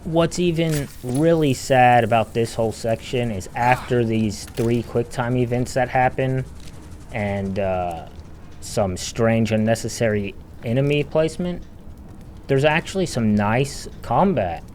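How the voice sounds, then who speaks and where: A man's voice: medium pitch, unhurried, a little mellow, a little hiss or hum. A man delivering emphatic, opinionated video essay commentary as a game critic.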